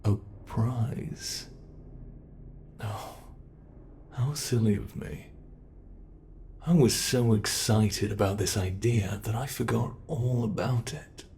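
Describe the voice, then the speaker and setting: A British man voicing a teasing, predatory male monster who holds the listener captive, speaking slowly and mockingly in an ASMR horror roleplay. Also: fairly low pitch, vocal fry, mellow, a little hiss or hum. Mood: taunting. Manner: slow, taunting, toying with the listener.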